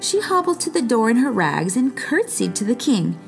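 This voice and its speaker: A woman reading a children's story aloud expressively, with dramatic pauses and stretched words. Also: fairly high pitch, sing-song, vocal fry, faint music behind. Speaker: storybook reader.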